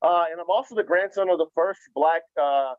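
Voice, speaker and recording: A man giving a conference keynote with a loud, energetic voice, joking and inviting the audience to take part. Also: on the high side, brisk, no room sound, narrow, tinny audio. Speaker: keynote speaker, nonprofit founder.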